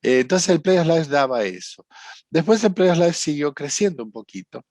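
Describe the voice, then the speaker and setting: A man with an Argentine accent, a psychotherapist telling his story in an interview, reflective and halting, often restarting his sentences. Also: fairly high pitch, animated, a little mellow, no room sound, clean studio sound. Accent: Argentine Spanish. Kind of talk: conversational storytelling.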